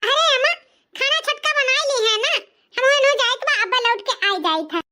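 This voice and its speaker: A woman acts out a scheming young village wife in an Indian cartoon comedy, in an extremely high and piercingly bright voice. Her eastern Uttar Pradesh accent comes with a wheedling, evasive delivery, lazy and full of excuses. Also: lively intonation, normal speed, heavily acted. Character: sly, lazy young daughter-in-law making excuses. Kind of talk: cartoon comedy dialogue in rural dialect.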